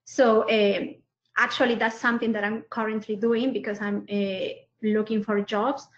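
Hesitant woman from Peru, flat voice. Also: medium pitch, moderate pace. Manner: hesitant, many fillers. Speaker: panelist. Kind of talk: self-introduction on a webinar. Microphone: video call. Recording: muffled audio in a roomy space.

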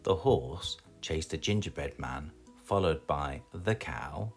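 A British man reading a children's storybook aloud in an expressive, scripted storytelling style. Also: extremely low pitch, takes it slowly, faint music behind. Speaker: storybook reader.

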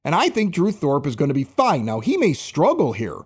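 A man hosting a podcast, fired up and defending his view with emphatic insistence. His voice sits fairly high and swings widely in pitch as he rants. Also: huge pitch swings, brisk, breathy edge. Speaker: podcast host. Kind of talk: heated podcast rant.

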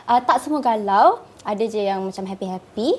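A young woman from Malaysia with a Malaysian accent, a guest singer-songwriter in a casual podcast chat, softly answering with short agreeing replies and hesitant fillers. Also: mid-range voice, brisk, breathy edge. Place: small yellow-lit studio, indoors. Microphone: studio condenser mic on a stand.

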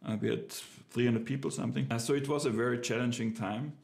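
Gravelly man talking with many hesitations. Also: mid-range voice, steady tone, brisk, darkish tone. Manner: hesitant, many fillers and restarts. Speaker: business owner reviewing a book. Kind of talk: casual unscripted talk to camera. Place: home office with bookshelves, indoors.

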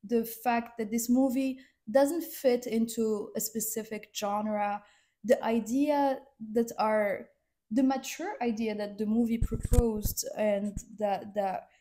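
A woman's voice: fairly high pitch, steady tone, takes it slowly, mellow. Young woman with a sick voice. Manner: thoughtful, hesitant, trailing off. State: sick, voice affected by illness. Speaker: co-host film reviewer. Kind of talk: casual review chat.

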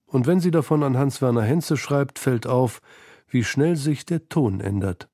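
Male narrator for literary feature. Mellow, mid-pitched, recorded dry. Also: a little slow, no room sound. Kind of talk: scripted literary documentary narration.

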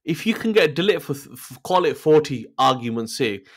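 A man's voice: fairly high pitch, very animated, normal speed. A middle-aged man hosting a casual football chat over a video call, eager and full of fillers and restarts. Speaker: podcast host. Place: home room, indoors. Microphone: podcast mic on video call.

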